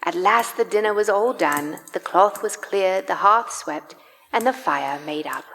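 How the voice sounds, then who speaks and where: A woman's voice: medium pitch, lively intonation, unhurried, fairly bright tone, slight background noise. A woman narrating a Victorian tale as the scripted storyteller of a live radio play. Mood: descriptive. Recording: live in a reverberant church hall.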